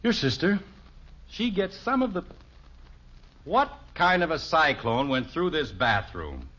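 A man's voice: a little high, very animated, measured pace, pushed and bright, husky, full character voice. An actor playing an exasperated middle-aged American father in a 1950s radio sitcom, with an emphatic, blustering manner. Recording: muffled vintage 1950s radio recording.

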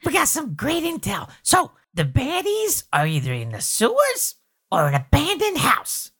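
A woman's voice: sits high, huge pitch swings, a little slow, fairly bright tone. A woman playing a tabletop role-playing game in casual, in-character talk.

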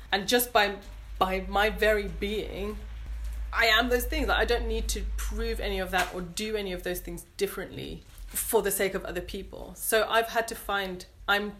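A woman with an English accent, speaking earnestly and thoughtfully as an interview guest, pausing to search for words. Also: mid-range voice, fairly bright tone. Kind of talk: reflective interview conversation.

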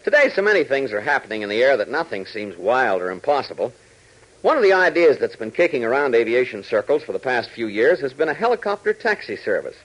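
A man's voice: medium pitch, very animated, quick pace, a bit bright. An American man delivering scripted narration as a 1940s radio announcer. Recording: dull vintage 1940s radio recording.

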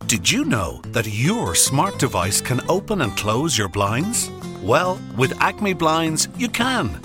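A man's voice: mid-range voice, wildly swooping pitch, slow, over music. A man reading a scripted advertisement as a voice-over. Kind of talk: scripted radio ad read.

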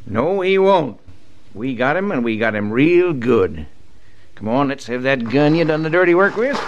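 Man playing a gruff western sheriff, muffled recording. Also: medium pitch, sing-song, moderate pace, natural voice. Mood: commanding. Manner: gruff, folksy frontier drawl. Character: tough, stubborn small-town western sheriff, long in office. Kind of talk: old-time radio western acting. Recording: dull vintage radio broadcast.

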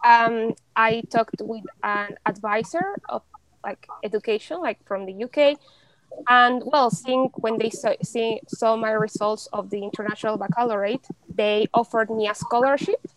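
A young woman from Mexico in casual conversation, chatty, with many fillers and an animated, often laughing delivery. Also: fairly high pitch, normal speed, no room sound, rough recording. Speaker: podcast guest.

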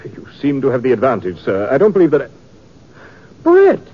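A man's voice: mid-range voice, wildly swooping pitch, a little fast, breathy edge. A man playing a pompous theatrical actor-manager with florid, affected, grandly dramatic delivery in a 1950s radio drama. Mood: grandiose, effusive. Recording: muffled, noisy 1950s radio recording.

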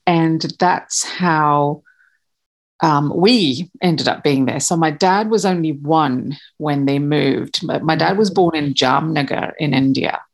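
A woman's voice: deep voice, slow pace, vocal fry. A woman in her fifties from Malawi, a podcast guest talking about her family, hesitant with many fillers and restarts. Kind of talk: casual interview chat.

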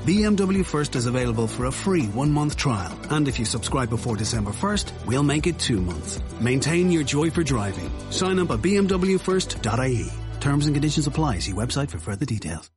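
A man's voice: mid-range voice, sing-song, a little fast, few pauses. A man reading a scripted commercial as a voice-over. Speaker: advert voice-over. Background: music.

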